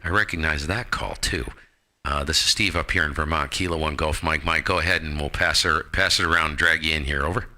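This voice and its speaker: American guy doing ham radio stream, real deep but very bright punchy voice, jokey and casual. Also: fairly even intonation, a little fast. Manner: breezy, salty, joking slang. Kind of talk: casual amateur radio chat.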